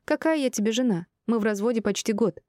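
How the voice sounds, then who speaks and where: A woman voicing an exasperated, indignant divorced woman with a sharp tongue in an audiobook drama. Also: mid-range voice, expressive, fast talker, no room sound, clean studio sound, voice pushed up, natural voice. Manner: irritated, indignant.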